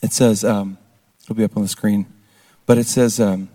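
A hoarse man giving a casual testimony talk at a recovery ministry service, throwing questions out to the crowd. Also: lowish voice, steady tone, normal speed, mellow. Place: large church hall with an audience. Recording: long hall reverb.

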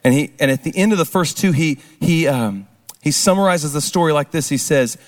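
A hoarse man giving a casual testimony talk at a recovery ministry service, throwing questions out to the crowd. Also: on the high side, animated, brisk. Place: large church hall with an audience. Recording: long hall reverb.

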